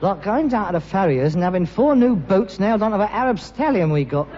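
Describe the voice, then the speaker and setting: Exasperated London rag-and-bone man, high-pitched, swooping. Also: very animated, fairly quick, a little mellow, long reverb tail. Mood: weary exasperation. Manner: theatrical, sarcastic, self-consciously grandiose. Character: exasperated, put-upon rag-and-bone man with pretentious airs. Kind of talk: 1960s British radio sitcom acting. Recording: dull noisy archive recording, studio audience.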